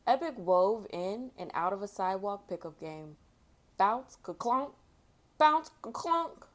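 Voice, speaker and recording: Expressive woman reading a storybook aloud. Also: medium pitch, measured pace. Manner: expressive, acts out sound effects and exclamations. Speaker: storytime host reading a picture book. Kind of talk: children's read-aloud with casual asides.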